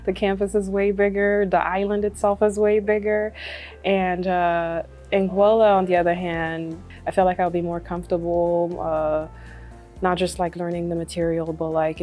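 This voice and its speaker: Brief: young woman from Congo, lowish voice, relaxed testimonial, faint music under. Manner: casual and chatty, many fillers, laughs often. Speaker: medical student. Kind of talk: testimonial interview.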